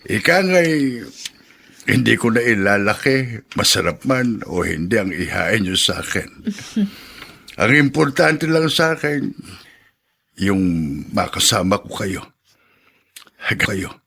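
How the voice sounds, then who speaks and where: Elderly ailing father, slow creaky voice, halting, sentimental, radio drama. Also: lowish voice, expressive, bright, forceful tone, exaggerated voice. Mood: wistful. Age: older. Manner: halting, repeating phrases, sentimental. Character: ailing, tender old widowed father, teasing and pleading.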